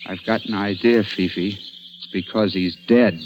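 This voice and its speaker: A man's voice: on the low side, fairly even intonation, a little slow, natural voice. An actor playing an agitated, stubborn young man-about-town caught up in an old-time radio mystery, speaking in a dramatic, exclamatory way. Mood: shaken narration. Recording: dull, band-limited vintage radio recording.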